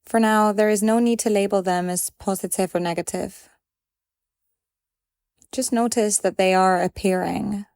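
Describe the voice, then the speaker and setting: A woman leading a guided meditation in a calm, gentle and measured way, leaving pauses between phrases. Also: mid-range voice, creaky, no echo, clean studio sound.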